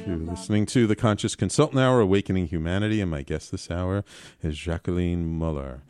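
A man's voice: low-pitched, brisk, a little mellow, clear, resonant tone, no echo, clean studio sound. A man hosting a talk show, asking rambling, casual questions with lots of fillers. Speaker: podcast host. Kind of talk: casual interview.

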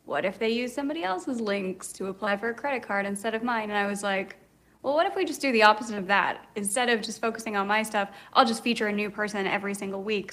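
A woman speaking as a podcast guest, talking in a loose, chatty way. She tells stories with a self-deprecating edge, and her pitch sits in an ordinary middle range. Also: a bit bright. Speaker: podcast guest, travel expert. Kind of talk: casual interview chat.

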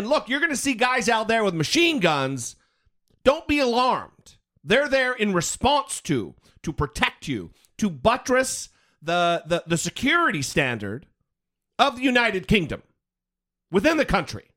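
A man's voice: sits high, wildly swooping pitch, measured pace, pushed and bright. An American man co-hosting a podcast in casual political chat, speaking emphatically, repeating words and swearing freely. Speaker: podcast co-host.

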